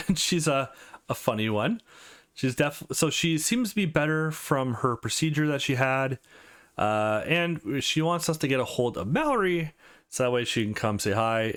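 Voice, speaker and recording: A man's voice: medium pitch, a bit bright. A man hosting a gaming channel, narrating and reading a visual novel aloud in an informal way.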